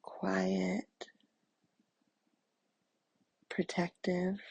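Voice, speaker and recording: A woman softly guiding a meditation in a soothing, breathy, husky voice, leaving long pauses between phrases. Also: lowish voice, completely flat delivery, dry recording. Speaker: meditation guide. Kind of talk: guided meditation narration.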